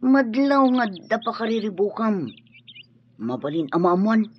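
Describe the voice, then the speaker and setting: A man's voice: high voice, big pitch swings, a little slow. A man playing a bold, gun-toting outlaw in a radio drama. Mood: probing. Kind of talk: Ilocano radio drama acting. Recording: dull radio recording, faint outdoor noise.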